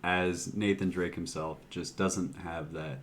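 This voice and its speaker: Low-voiced man, casual podcast talk. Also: steady tone, takes it slowly, a little hiss or hum. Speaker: podcast co-host. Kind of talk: casual movie discussion chat.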